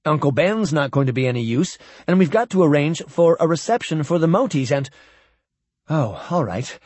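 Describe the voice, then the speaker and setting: A man voicing an excited young noblewoman who rattles off plans. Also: fairly high pitch, normal speed, dry recording. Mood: eager.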